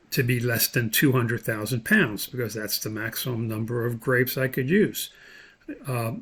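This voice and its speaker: Gravelly-voiced man giving a tutorial. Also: mid-range voice, a bit bright. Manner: explanatory, thinking aloud step by step. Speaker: instructor. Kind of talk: screen-recorded software tutorial, unscripted explanation.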